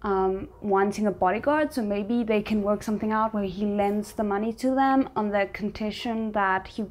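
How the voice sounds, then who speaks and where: A woman's voice: mid-range voice, moderate pace. A woman hosting a reaction video, giving casual commentary and thinking aloud as she goes.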